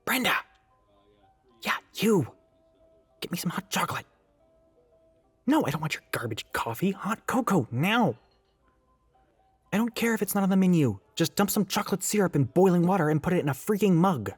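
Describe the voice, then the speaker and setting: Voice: mid-range voice, sing-song, moderate pace, long pauses, breathy edge, no echo. Performer doing a bossy flirty scheming store coworker, sarcastic, really swoopy voice, close dry mic w music behind. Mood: demanding, exasperated. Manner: sarcastic, teasing, swinging from barking to cooing. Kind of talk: acted audio roleplay monologue to listener.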